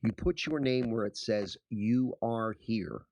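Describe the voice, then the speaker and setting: A man playing a sardonic high school history teacher in an audio drama, giving emphatic instructions with dry sarcasm. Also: slow pace, darkish tone, no echo. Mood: instructing.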